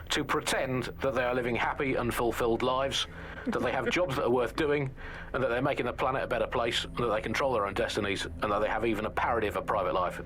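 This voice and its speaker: British man, acting as sardonic pirate radio host, average pitch, hesitant rambling asides, satirical banter, faint noise. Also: a bit bright, lightly acted.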